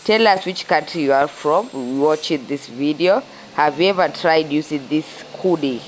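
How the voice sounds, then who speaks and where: A young Kenyan woman with a Kenyan accent narrating her outdoor cooking vlog in a relaxed, chatty way, a little stuffy from a cold. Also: deep voice, moderate pace, slight background noise, poor audio. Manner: relaxed and chatty, with frequent "yeah" and restarts. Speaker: cooking vlogger. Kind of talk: casual voice-over narration of a cooking video.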